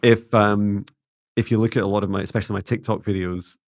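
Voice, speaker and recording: A Scottish man hosting a live psychic reading, chatty and upbeat, slowing down gently when passing on messages. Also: on the low side, steady tone, dry recording, studio quality. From Scotland. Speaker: psychic reader and co-host.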